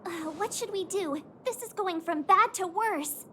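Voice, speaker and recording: Very high, expressive teenage girl. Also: measured pace, slight background noise, exaggerated voice. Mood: alarmed. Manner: informal, expressive. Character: bubbly, chatty, expressive teenage girl adventurer. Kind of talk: video game cutscene acting.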